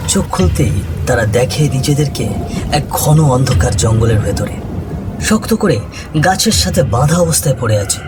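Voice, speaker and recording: A man's voice: mid-range voice, expressive, moderate pace. A man narrating a scripted ghost story in a dramatic storytelling style. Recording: loud background music.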